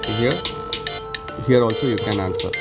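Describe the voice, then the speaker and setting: Low-voiced man giving a halting tutorial. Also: vocal fry, loud music behind the voice. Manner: halting, short phrases, non-native phrasing. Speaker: tutorial presenter. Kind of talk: unscripted step-by-step tech tutorial.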